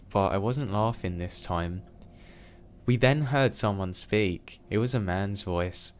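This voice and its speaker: A man reading true scary stories aloud in a steady, even first-person narration. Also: lowish voice, slight background noise. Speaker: storyteller.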